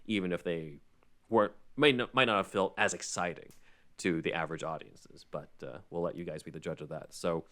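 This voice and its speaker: A man's voice: low-pitched, brisk, sharp tone. A man hosting a podcast, explaining and recapping in a conversational way with rambling asides. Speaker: podcast host.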